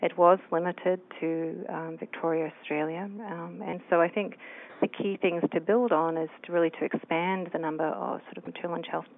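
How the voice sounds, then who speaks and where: Woman from New Zealand, researcher guest, gravelly voice, tinny phone line, hesitant. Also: fairly low pitch, steady tone, moderate pace. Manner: thoughtful, many fillers and hedges. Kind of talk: interview answers.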